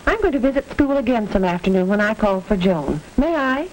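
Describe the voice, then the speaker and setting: Actress for appreciative, proper 1940s mother, mid-pitched and formal, on a faintly noisy vintage film track. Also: big pitch swings, a little fast, natural voice. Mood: earnest, grateful. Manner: scripted, polite, formal. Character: appreciative, earnest mother visiting her child's teacher. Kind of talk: 1940s educational film acting.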